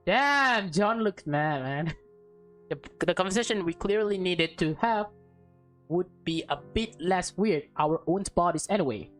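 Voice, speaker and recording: A woman's voice: on the low side, animated, a little slow. A woman reading a visual novel aloud as a let's player, informally, with casual asides and stumbles. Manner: informal, stumbles over words and comments on the story. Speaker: let's play host. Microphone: close mic. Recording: background music under the voice.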